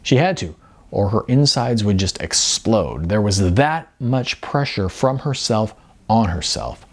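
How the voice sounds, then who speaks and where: A man who is an author reading his own novel as audiobook narration, with expressive emphasis and drawn-out words. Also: fairly low pitch.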